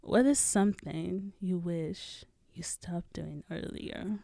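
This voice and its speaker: A woman's voice: lowish voice, takes it slowly, vocal fry, warm tone, no room sound, clean studio sound. A woman whose first language is Igbo, co-hosting a podcast in casual chat, playful and self-assured, laughing often. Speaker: podcast co-host.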